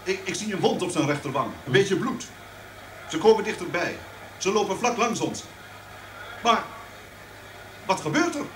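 Urgent high-voiced male radio reporter. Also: sing-song, fairly bright tone. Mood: tense, hushed. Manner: urgent, halting, vivid. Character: agitated male live radio reporter at a scene. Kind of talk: live on-the-spot commentary in radio play. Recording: distant, echoey, noisy location-report sound.

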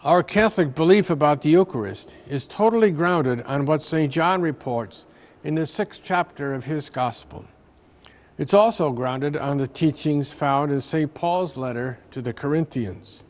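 An older man, a priest, reading a scripted reflection aloud from a book in a slow, measured way inside a large stone church. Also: fairly high pitch.

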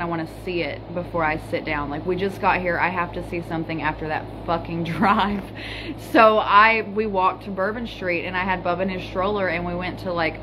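A woman in her thirties chats and tells stories into a phone held at arm's length, loose and casual, with the odd curse word. She sits in a small hotel room. A steady hum of room noise runs under her voice. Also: lowish voice. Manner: chatty storyteller, swears casually. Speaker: vlogger. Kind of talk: casual chat. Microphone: phone camera held at arm's length. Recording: noisy hotel room ambience.

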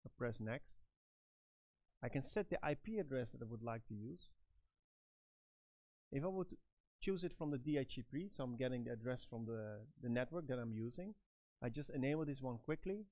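A middle-aged man giving step-by-step product instructions in a halting, phrase-by-phrase manner. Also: mid-range voice, no echo, muffled recording. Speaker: product trainer and presenter.

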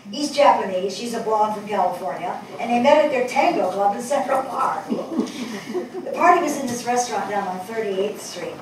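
Older female actor as a thoughtful writer, wistful, expressive, slightly high voice, distant mic, stage drama. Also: normal speed, lightly acted. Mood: wistful wonder. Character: thoughtful, attentive New York woman writer. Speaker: actress in a staged play reading. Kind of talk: dramatic stage reading, storytelling. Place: on stage in a theatre before an audience. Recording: distant mic, echoey hall, audible noise.

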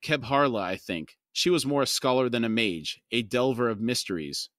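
Man voicing a grim scout, low, rumbling, stern, dry recording. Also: animated, normal speed, a bit bright, no room sound, lightly acted.

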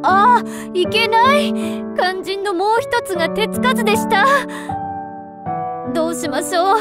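A woman playing a refined, devoted crane-spirit seamstress in a game, polite and theatrical, given to excitable fangirl gushing. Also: very high-pitched, measured pace. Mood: flustered. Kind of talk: Japanese game voice acting. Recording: background music under clean studio voice.

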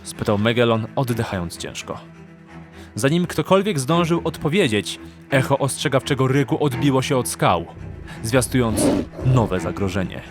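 A man's voice: mid-range voice, expressive, normal speed, over music. A man narrating a fantasy audiobook in a dramatic, scripted storytelling style.

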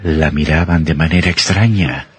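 A man's voice: rumbling low, moderate pace. A man narrating an old radio horror drama in a scripted, dramatic style.

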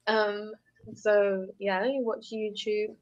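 A woman's voice: medium pitch, level tone, takes it slowly, a little mellow. A young woman from the UK with a British accent that carries a hint of American, talking in a friendly, encouraging and chatty way. She speaks into an earphone mic on a video call from home. The sound is slightly muffled and lo-fi. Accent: British with some American tinge. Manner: encouraging, chatty, laughs easily. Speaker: English tutor. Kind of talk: casual online tutoring chat. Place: indoors at home.

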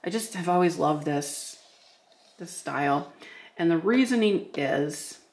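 A man's voice: fairly high pitch, expressive, a little slow. A young man, a vintage shop owner, chatting casually and rambling to a handheld camera indoors. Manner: chatty and rambling, many "like"s and restarts. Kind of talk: casual vlog chat.

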